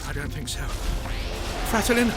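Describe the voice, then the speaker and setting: A man's voice: normal speed, over loud music, close to an everyday voice. A man playing a mild, eccentric adventurer, polite and dryly hesitant, in an audio drama. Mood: concerned. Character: mild, eccentric, quick-witted male alien adventurer.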